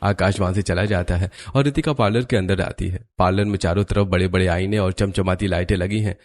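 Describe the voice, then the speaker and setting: A man's voice: sits low, fairly even intonation, fairly quick, a little mellow. A man narrating a scripted cartoon story.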